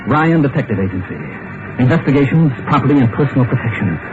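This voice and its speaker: An actor playing a frantic, hunted husband in an old-time radio mystery, urgent and melodramatic in delivery. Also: male voice, medium pitch, animated, quick pace, very noisy, lightly acted. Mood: tense, hunted. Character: frantic, hunted husband fearing he will be killed. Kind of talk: 1940s-50s radio drama acting, first-person narration and dialogue. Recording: tinny old radio recording with loud music.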